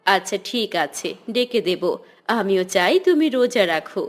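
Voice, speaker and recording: A woman playing a caring, slightly worried mother in a cartoon, speaking gently and with concern. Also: medium pitch, animated, unhurried, close to an everyday voice. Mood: concerned, yielding. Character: caring, worried but yielding mother of a schoolboy. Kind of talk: cartoon dialogue.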